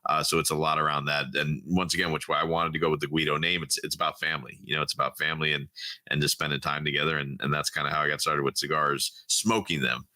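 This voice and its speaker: A middle-aged man, a podcast guest, telling stories in a quick, chatty way with many fillers. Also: very deep voice, level tone, pushed and bright, no echo. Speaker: podcast guest, cigar brand founder. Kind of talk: casual chat.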